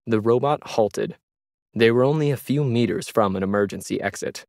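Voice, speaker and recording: A man's voice: medium pitch, normal speed, vocal fry, darkish tone, dry recording, clean studio sound. A man reading a scripted science fiction story as its narrator.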